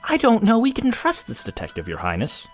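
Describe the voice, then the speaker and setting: Scheming royal guard stallion played by a man, animated and theatrical, feigned loyalty, insistent, music underneath. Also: high-pitched, brisk. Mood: indignant. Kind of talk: dramatic audio drama acting.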